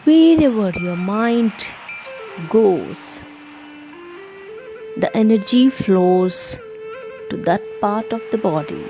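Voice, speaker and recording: Female meditation guide: extremely unhurried, soothing delivery in short spaced phrases, faint music underneath. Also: mid-range voice, lively intonation, low-quality recording. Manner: soothing, gentle instruction with long pauses. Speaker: yoga meditation guide and channel host. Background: soft instrumental music.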